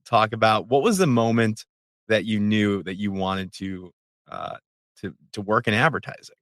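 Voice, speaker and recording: A man's voice: fairly low pitch, moderate pace, a bit bright, no room sound, clean studio sound. A man hosting a podcast, asking easygoing, rambling questions. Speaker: podcast host. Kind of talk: casual interview chat.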